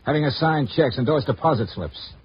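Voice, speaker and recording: An actor playing a terse, cynical insurance investigator in a mid-century radio detective drama, narrating and questioning in a clipped, wry manner. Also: male voice, mid-range voice, lively intonation, fairly quick, husky. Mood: stern, pressing. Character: hardboiled insurance investigator, male, brisk, cynical, commanding. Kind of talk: 1950s radio detective acting, clipped first-person narration. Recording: dull vintage radio recording, music behind.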